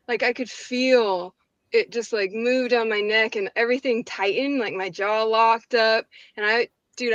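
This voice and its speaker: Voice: medium pitch, a bit bright, dry recording, muffled recording. A speaker telling personal stories casually, in a slangy, rambling way.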